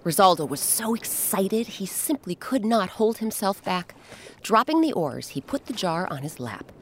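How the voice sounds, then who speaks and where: A woman narrating a scripted children's story as host, with dramatic, expressive, deliberate phrasing. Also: medium pitch, slight background noise. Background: music.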